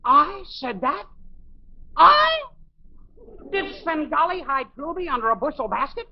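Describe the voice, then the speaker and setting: A woman's voice: sits high, sing-song, measured pace, slight background noise, full character voice. A woman playing a pompous young amateur director in a 1950s radio sitcom, declaiming floridly. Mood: indignant, grandiose. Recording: dull old radio recording.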